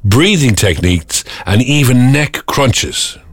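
A man's voice: medium pitch, animated, takes it slowly, no echo, clean studio sound. A man hosting a breakfast radio show, chatting casually and joking. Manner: chatty, jokey, slips into silly voices. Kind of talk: casual morning radio chat. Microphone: studio microphone.

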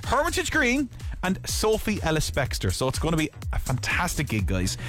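A man's voice: on the high side, huge pitch swings, moderate pace, over music. A man hosting a breakfast radio show, chatty and jokey as he gives away tickets. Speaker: radio host. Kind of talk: breakfast radio chat and giveaway. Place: radio studio.

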